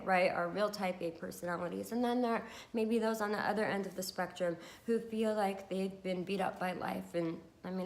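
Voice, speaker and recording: A woman with a congested voice from a cold, chatting casually and encouragingly to camera about wellness, with many fillers. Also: mid-range voice. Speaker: beauty vlogger and hypnotherapist.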